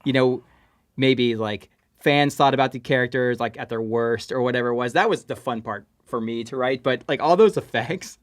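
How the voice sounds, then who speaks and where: A man's voice: medium pitch, normal speed. An American man, a writer guesting on a podcast, chatting and recollecting in a loose, informal way. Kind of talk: casual chat, recollecting.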